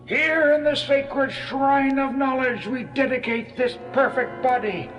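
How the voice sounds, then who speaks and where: High-pitched old zealot priest. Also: male voice, measured pace, muffled recording. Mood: solemn, zealous. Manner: chanting, ceremonial declamation. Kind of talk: 1970s audio drama acting. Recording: music behind the voice.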